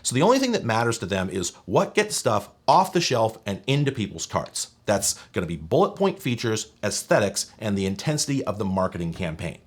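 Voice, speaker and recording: A man's voice: on the low side, very animated, fairly quick, few pauses, husky. A man hosting a scripted tech history video essay, with wry, opinionated, emphatic phrasing. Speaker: video essay host and narrator.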